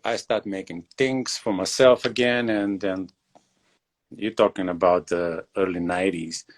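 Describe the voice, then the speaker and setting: Man, forties, from Bulgaria, English, deep voice, halting with lots of fillers, video call. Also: fairly even intonation. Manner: hesitant, many fillers and pauses. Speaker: guest leatherworker and shoemaker. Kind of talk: casual interview over video call.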